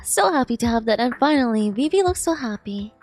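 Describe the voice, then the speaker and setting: A woman's voice: fairly high pitch, expressive, fairly quick, darkish tone. A woman game streamer giving live reaction commentary in a gushing, exclamatory, emotionally invested way. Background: game music.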